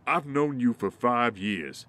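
A man's voice: medium pitch, animated, takes it slowly, sharp tone. A man playing a principled, guarded ex-Marine in an audio drama. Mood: accusing, indignant. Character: principled male ex-Marine, guarded, righteously indignant.

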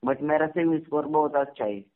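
A young man of about twenty speaks as a caller in a fairly high voice. He is halting and deferential, repeating words as he chats. His voice comes through a tinny, narrow telephone connection. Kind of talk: casual phone chat. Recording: tinny narrowband phone call recording.

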